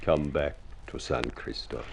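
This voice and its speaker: A man's voice: rumbling low, unhurried, gravelly, full character voice. An actor playing a glib, grandiloquent man with a flowery tongue in a vintage radio drama. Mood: ardent wooing. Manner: florid, grandiloquent delivery. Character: ugly, glib, flowery-tongued suitor turned pushy remedy salesman. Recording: noisy vintage radio recording.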